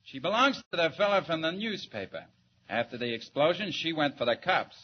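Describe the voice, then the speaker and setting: A man's voice: fairly high pitch, very animated, a little fast, lo-fi sound. An actor playing an obedient henchman with uneducated tough-guy speech in 1940s radio style. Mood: matter-of-fact. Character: rough male henchman, obedient to his crime boss. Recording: noisy, band-limited old radio recording.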